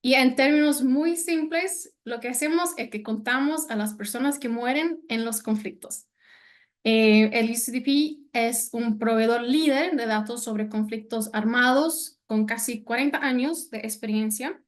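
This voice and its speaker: Slow, high-voiced woman lecturing in careful Spanish. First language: not Spanish. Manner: hesitant, many fillers, careful non-native Spanish. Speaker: guest speaker, senior analyst. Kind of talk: online lecture presentation.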